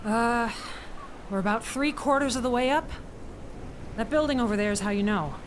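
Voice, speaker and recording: A woman's voice: on the high side, normal speed, close to an everyday voice. A woman playing a firm, caring mountain guide in a family audio drama, direct and coaching. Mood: advising. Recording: clear background noise, outdoor ambience.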